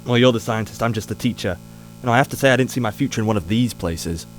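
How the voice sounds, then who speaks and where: A man's voice: mid-range voice, fast, soft background music, lightly acted. A man playing a wry, weary ethics professor in an audio drama, dry, sarcastic and mildly exasperated in a natural style. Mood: reluctant.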